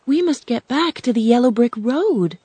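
Dorothy, a high girlish storybook voice, as a woman puts her on. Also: lively intonation, normal speed, mellow, husky, dry recording. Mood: urgent. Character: young girl, hopeful and tearful. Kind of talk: storybook reading.

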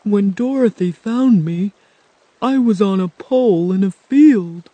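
A woman doing a kind, gentle scarecrow. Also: mid-range voice, slow, no echo, voice pushed up. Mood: gloomy. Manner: soft and kindly. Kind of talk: storybook reading.

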